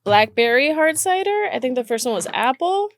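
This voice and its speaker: Woman host, slightly high voice, playful and giggly, casual chat. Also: very animated, moderate pace. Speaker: podcast host.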